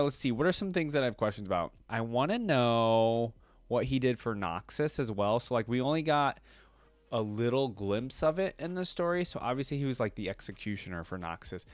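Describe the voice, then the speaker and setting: A man's voice: medium pitch, no room sound. A man hosting a reaction video, giving casual, conversational commentary that rambles with plenty of filler words.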